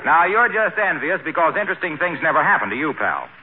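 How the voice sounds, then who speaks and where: An actor playing a cheerful, long-winded neighbor who keeps plugging a product, in a 1940s radio sitcom. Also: male voice, on the high side, sing-song, quick pace. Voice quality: earnest, rambling, chuckling. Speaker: announcer doing an in-show commercial. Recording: tinny old radio broadcast with hiss.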